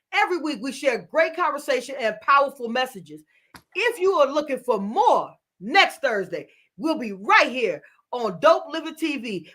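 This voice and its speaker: A woman hosting a casual panel talk show as a business mentor, animated and quick to laugh, often repeating words. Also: fairly high pitch.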